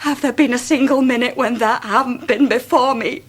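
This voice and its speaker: A woman's voice: fairly high pitch, fairly even intonation, moderate pace, slightly rough. An actress playing a disgraced young Victorian woman, imploring and emotional in a radio drama. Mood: anguished. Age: young adult. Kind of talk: 1990s radio drama acting.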